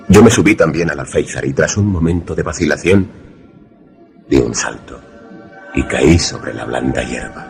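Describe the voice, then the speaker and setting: An actor playing a wary, grave protagonist who narrates a radio suspense drama formally. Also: male voice, extremely low pitch, creaky, exaggerated voice. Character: wary male narrator-protagonist, secret lover in danger.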